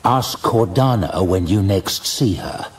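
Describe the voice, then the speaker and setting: Audiobook: weary, wry male wizard, read by a man, deep and unhurried. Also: far from the mic. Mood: grave, urgent. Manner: light, dry, calm under pressure. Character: wry, determined older male archmage. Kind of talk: audiobook character voice.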